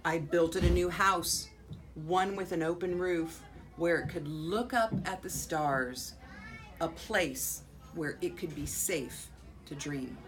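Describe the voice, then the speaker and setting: An American woman, a school principal, reading a children's picture book aloud expressively, with dramatic pauses and drawn-out words. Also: fairly low pitch, music in the background. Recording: faint background noise.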